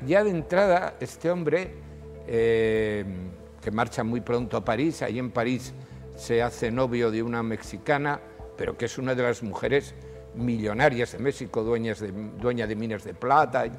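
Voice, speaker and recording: Older man, gravelly voice, animated historian guest, reverberant studio, faint music. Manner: animated storytelling, rises in pitch when emphasizing. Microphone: lapel.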